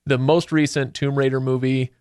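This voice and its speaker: A man hosts a relaxed panel chat in a medium-pitched voice. He is playful and teasing, slipping into mock-formal phrasing. The sound is close and very dry. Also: normal speed, clear, resonant tone, no echo. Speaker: podcast host. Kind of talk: casual, jokey panel chat.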